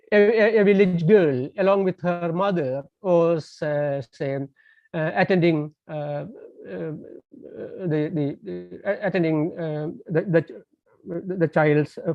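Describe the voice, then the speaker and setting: A man's voice: fairly high pitch, no room sound. A man whose first language is Tamil gives a lecture over a phone call, stopping often on fillers and false starts. The sound is muffled, with a narrow, lo-fi tone. The room around him sounds close and dry. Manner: hesitant, many fillers and restarts. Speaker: guest lecturer. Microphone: phone on a landline call.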